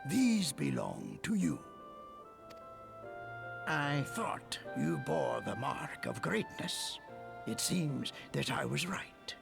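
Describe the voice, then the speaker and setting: An actor playing a gentle, protective old priest in a fantasy game, with a creaky voice and a solemn, humble manner. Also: male voice, a little high, exaggerated voice. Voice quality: gravelly, creaky. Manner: solemn, pleading, unhurried. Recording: music behind the voice.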